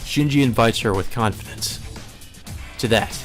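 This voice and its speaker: A man's voice: mid-range voice, moderate pace. A man reading visual novel narration aloud as a let's play host. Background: faint music.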